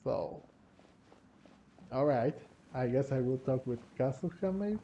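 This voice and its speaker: Slow, very mellow man commenting on gameplay. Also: medium pitch, expressive, lo-fi sound, slight background noise. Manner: hesitant, musing aloud, repeats words. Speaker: gaming video host. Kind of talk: casual game playthrough commentary.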